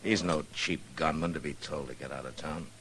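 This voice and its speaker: Gruff, steady frontier lawman, American, deep register, wry delivery, vintage radio hiss. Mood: firm. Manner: dry, wry, unhurried. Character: gruff, steady middle-aged male frontier US marshal. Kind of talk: old-time radio western acting. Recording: noisy vintage radio recording.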